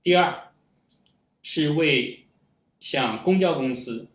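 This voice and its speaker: A man's voice: on the high side, takes it slowly, a bit bright. A man giving a prepared presentation in a formal, point-by-point manner. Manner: formal, list-like delivery. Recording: echoey room.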